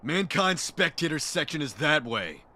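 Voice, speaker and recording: A man voicing a haughty, excitable follower of Hades in an anime fan dub, theatrical and indignant. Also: fairly high pitch, normal speed, heavily acted.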